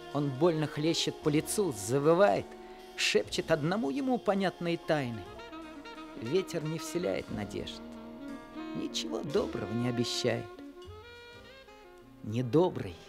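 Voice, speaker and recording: A thoughtful teenage narrator with a lively, rising and falling voice. Faint music plays beneath his storytelling in the old radio play. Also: high-pitched, exaggerated voice.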